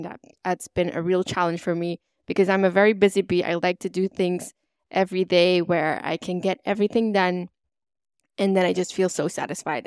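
A woman's voice: fairly low pitch, smooth, no room sound, studio quality. A woman hosting her own podcast in an upbeat, motivational, chatty way, with a stuffy, slightly nasal voice from a cold. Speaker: podcast host. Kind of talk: solo podcast monologue.

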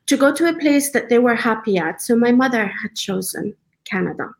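A woman in her thirties who grew up in the UAE, a designer answering interview questions in a relaxed, conversational way with frequent fillers. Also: a little high, gravelly. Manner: conversational, many fillers, measured pace. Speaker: guest, designer.

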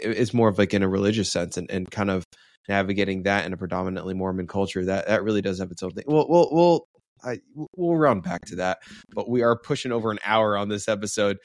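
A man co-hosts a laid-back podcast about fatherhood. His voice sits a little low, and it is recorded close and dry. He keeps the conversation moving in an easy, chatty way. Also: no echo. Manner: relaxed, conversational, steers the topics. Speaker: podcast co-host. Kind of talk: casual chat.

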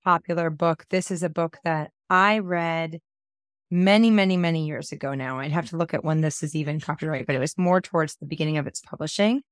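A woman's voice: low-pitched, brisk, no room sound, clean studio sound. A woman in her thirties hosting a podcast, upbeat and enthusiastic, her voice a little scratchy from a cold. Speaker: podcast host, book coach. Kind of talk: podcast intro and casual chat.

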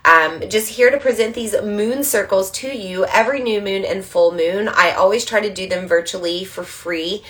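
A woman hosting a live online moon circle, slightly stuffy from allergies, chatting casually and gently guiding breathing. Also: mid-range voice, moderate pace, few pauses. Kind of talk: casual livestream with guided breathing. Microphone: livestream camera mic.

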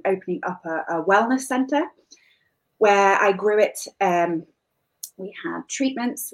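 A woman who teaches wellness, giving an earnest and enthusiastic welcome talk in a deliberate way. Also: medium pitch, rough recording.

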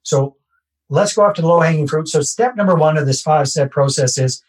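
A Canadian real estate investor speaks in a husky, slightly raised voice. He talks casually and pokes fun at himself, and he comes back to the same key phrases as he lays out his method for a podcast host. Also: on the high side, fairly quick. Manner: conversational, self-deprecating, repeats key phrases. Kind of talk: podcast interview, explaining a process.

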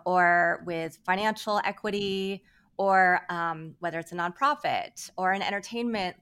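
A woman's voice: lowish voice, bright, forceful tone, clean tone. A middle-aged woman speaking as a podcast guest, explaining her work in an informal, conversational way. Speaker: podcast guest, PR agency founder. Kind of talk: casual conversational interview.

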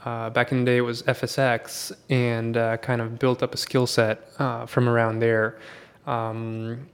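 A man's voice: mid-range voice, little pitch movement, a little slow, clear, resonant tone. A man raised in Sweden, a podcast guest talking casually and at length, with many fillers and restarts.

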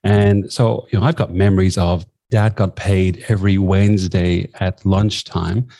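A man's voice: low-pitched, fairly even intonation, unhurried, vocal fry, warm tone, no echo. A man in his fifties with an Irish accent, telling personal stories conversationally as a podcast guest, in a wry, self-effacing way. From southwest of Ireland. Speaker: podcast guest, former nurse and coach.

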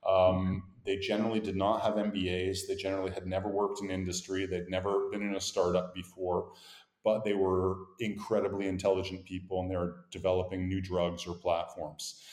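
A middle-aged man with a Canadian accent, a company founder telling his career story in measured, articulate sentences. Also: low voice, little pitch movement, a little mellow. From grew up in Montreal, born in Boston. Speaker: guest, company founder and CEO. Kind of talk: interview conversation. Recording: echoey room.

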